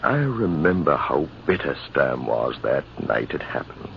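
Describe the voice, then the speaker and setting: An actor playing a measured military officer, formal and deliberate, telling a tale in an old-time radio drama. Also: male voice, extremely low pitch, big pitch swings, creaky, heavily acted. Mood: grave, reflective. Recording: dull, noisy vintage radio recording.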